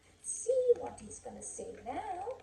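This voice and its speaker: A woman hosting a children's storybook read-aloud, expressive and playful, with coaxing questions. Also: very high-pitched, measured pace, creaky, very noisy. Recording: echoey room.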